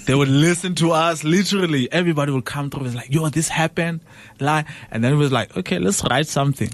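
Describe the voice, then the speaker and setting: A man's voice: a little high, fast talker. A man from South Africa hosting a casual radio interview, loose and chatty with plenty of fillers and easy laughter. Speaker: radio show host.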